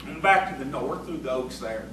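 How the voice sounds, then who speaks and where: A man in an audio theatre play as an excitable, folksy rustic tavern regular who tells of ghostly sightings emphatically. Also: medium pitch, moderate pace, lightly acted. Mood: awed, ominous. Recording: very echoey, distant, noisy stage recording.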